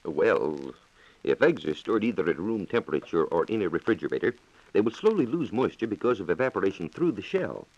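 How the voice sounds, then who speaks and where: A man's voice: low-pitched, very animated, brisk, darkish tone, breathy edge. An American man reporting on science in a scripted, formal and precise 1940s radio style, on a dull, echoey old recording.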